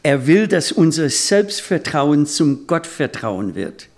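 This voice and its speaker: A man preaching a sermon in a language that is not his mother tongue, deliberate and halting as he rephrases himself. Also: a little high, very animated, darkish tone. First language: not German; speaks German as a second language.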